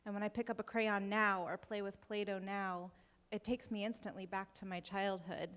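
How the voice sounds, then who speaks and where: A woman's voice: medium pitch, little pitch movement, normal speed, a bit bright. A woman in the audience sharing a reflective, conversational question after a talk. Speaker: audience member asking a question. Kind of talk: question from the floor. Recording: long reverb of a lecture hall.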